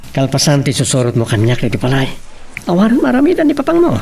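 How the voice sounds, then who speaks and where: A woman's voice: very deep voice, wildly swooping pitch, fast talker, vocal fry, warm tone, recorded from a distance, soft background music, heavily acted. A woman playing an insistent young male sweetheart in a radio drama, speaking with an Ilocano accent. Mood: coaxing.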